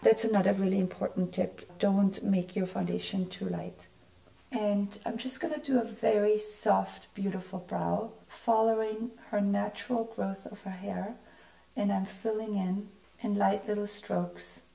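Hoarse, gravelly woman losing her voice. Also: mid-range voice, fairly even intonation, measured pace, mellow, poor audio. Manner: chatty, friendly, explains as she works. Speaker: makeup artist. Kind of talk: makeup tutorial.

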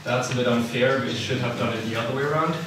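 A young man from the Italian-speaking part of Switzerland, with a Swiss accent, giving a relaxed, self-deprecating seminar talk full of ums and uhs, recorded in a lecture room. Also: medium pitch, fast. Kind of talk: technical lecture to a live audience. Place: lecture room with a projector screen. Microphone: far room camera, no visible mic. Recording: echoey room, distant mic, background noise.

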